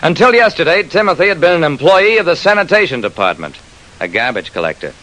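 A man's voice: a little high, very animated, fast talker, pushed and bright, poor audio. A man playing a terse, wry private detective who narrates his own case in an old-time radio drama. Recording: vintage radio recording.

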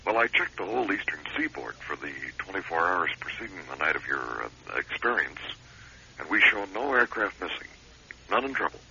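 An actor playing a brisk aviation official heard through a telephone filter in a classic radio drama, clipped and matter-of-fact. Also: male voice, low voice, big pitch swings, gravelly, pushed and bright, exaggerated voice. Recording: tinny phone filter, noisy old radio recording.

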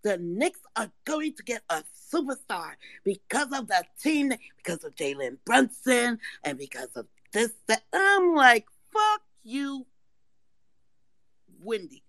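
A hoarse woman calling in to a live fan audio room, talking loud and emphatic, with some swearing. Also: fairly high pitch, big pitch swings, slow, no echo. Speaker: caller. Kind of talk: casual fan chat.

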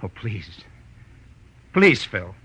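Tense, pleading radio accountant. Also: male voice, fairly low pitch, sing-song, very slow, fairly bright tone, slightly rough, heavily acted. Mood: desperate pleading. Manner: pleading, anxious. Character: desperate, frightened male accountant begging for help. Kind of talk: 1940s radio acting. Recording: muffled, faintly noisy old radio recording.

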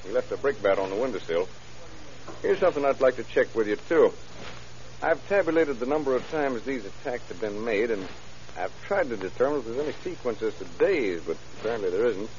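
A man's voice: mid-range voice, expressive, a little fast, darkish tone, lightly acted. An actor playing a determined male police lieutenant in an old radio drama, talking in a clipped, businesslike manner. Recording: muffled, hissy old radio recording.

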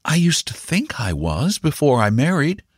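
Man voicing a bitter, weary mother, raised pitch. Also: wildly swooping pitch, normal speed, slightly rough, dry recording, clean studio sound, heavily acted. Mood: bitter, resigned. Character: bitter, weary mother explaining to her child.

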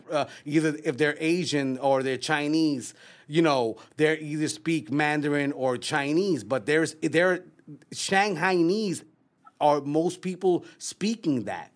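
Guy hosting a podcast, highish voice, chatty and wordy, repeats himself while he talks. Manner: wordy, repeats phrases while forming questions. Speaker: podcast host. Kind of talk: casual interviewing.

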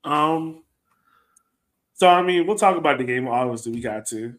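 A man's voice: on the high side, lively intonation, normal speed, fairly bright tone. A man co-hosting a sports fan podcast, talking casually and informally with a resigned manner. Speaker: co-host of a soccer fan podcast.

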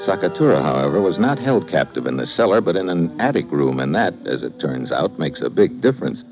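An actor playing a tough, cool-headed American government investigator in a clipped radio detective drama style. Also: male voice, sits low, sing-song, a little fast, music in the background. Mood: hard-boiled narration. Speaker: lead investigator and narrator. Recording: muffled old radio recording.

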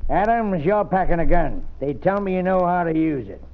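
A man's voice: high voice, lively intonation, moderate pace. An actor playing a corrupt, threatening gunman marshal of the Old West in an old-time radio Western, with a commanding drawl. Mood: cold menace. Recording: muffled, noisy vintage radio recording.

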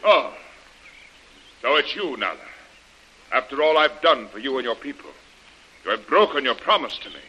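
An actor playing a stern, God-fearing older plantation master in 1930s radio style, declamatory and commanding. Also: male voice, medium pitch, sing-song, moderate pace, long pauses, bright, forceful tone, breathy edge, dull audio, full character voice. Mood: wrathful, accusing. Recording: old radio drama recording.

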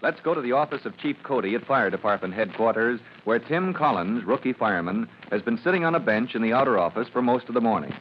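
A man's voice: medium pitch, animated, fast, few pauses. A man announcing and narrating an old-time radio drama in a dramatic, declamatory style. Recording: muffled, noisy old radio recording.

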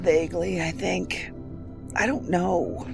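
An actress playing a shaken but assertive federal agent held as a patient in an audio drama, speaking with halting urgency. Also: female voice, lowish voice, fairly even intonation, gravelly, music in the background, heavily acted. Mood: dazed, confused. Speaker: actor.

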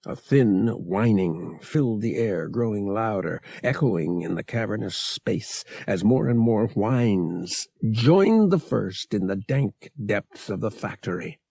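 Gravelly male audiobook narrator, animated. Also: on the low side, no room sound. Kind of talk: scripted dramatic fiction narration.

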